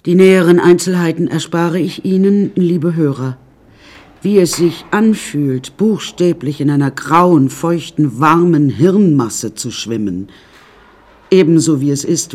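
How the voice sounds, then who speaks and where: An older woman in a radio drama, recounting a grim life with dry, imperious wit. She takes her time over each phrase and swings widely in pitch, confiding and theatrical. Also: darkish tone, slightly rough, full character voice. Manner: wry, theatrical, drawn-out confiding delivery. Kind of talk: radio drama first-person narration.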